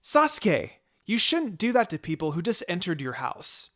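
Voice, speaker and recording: A man voicing a young female ninja in a story reading, firm and sardonic but secretly soft-hearted. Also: sits high, sing-song, normal speed, pitched above the performer's own voice, exaggerated voice. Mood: stern, teasing.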